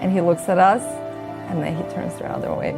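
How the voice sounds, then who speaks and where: A woman from Azerbaijan whose first language is Russian, talking casually and earnestly as an interview guest, telling stories in an informal, expressive way. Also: lowish voice, a little fast, creaky. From Azerbaijan, former Soviet Union. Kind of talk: casual conversational storytelling. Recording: music behind the voice. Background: background music.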